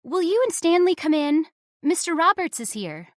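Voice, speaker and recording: Woman playing a high-voiced, polite American daughter. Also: very animated, normal speed, no room sound, clean studio sound. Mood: polite, gracious. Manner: polite, formal, well-spoken. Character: polite, poised young American daughter, gently reproving. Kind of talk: old-time radio drama acting.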